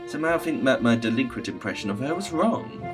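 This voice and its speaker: A man hosting a gaming video, reading visual novel text aloud with casual asides and halting stumbles over the words. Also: mid-range voice, expressive. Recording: music playing behind the voice.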